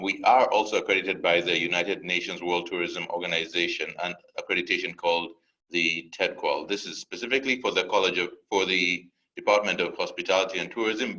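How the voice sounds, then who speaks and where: A young man from Uganda, an admissions counselor giving a relaxed, lightly joking webinar presentation with a few fillers. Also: deep voice, poor audio.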